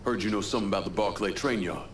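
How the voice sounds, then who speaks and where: An actor playing a tough, terse American criminal leader in a video game cutscene, blunt and low-key. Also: male voice, racing, natural voice. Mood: cool, wry. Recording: clear background noise.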